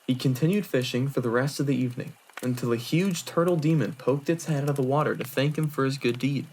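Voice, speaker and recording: A man's voice: mid-range voice, fast, darkish tone, slight background noise, natural voice, rough recording. Man acting as Miroku, a theatrical flirtatious storyteller. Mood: storytelling. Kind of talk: fan audio drama acting.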